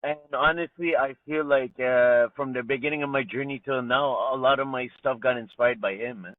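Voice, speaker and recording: A man's voice: medium pitch, normal speed, no room sound. A man from Montreal, Canada, a rapper talking casually in an interview, heavy on slang and "you know". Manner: laid-back slang, frequent "you know", "man", "bro". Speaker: rapper guest. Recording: tinny phone-like call line.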